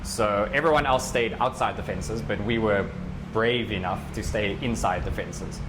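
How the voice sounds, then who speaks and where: A man's voice: on the low side, moderate pace, noisy background. A young man from Africa, a teacher and scout leader, answering interview questions in short, plain sentences. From South Africa. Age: young adult. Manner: plain, short sentences with lots of agreeing yeahs. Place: beach outdoors.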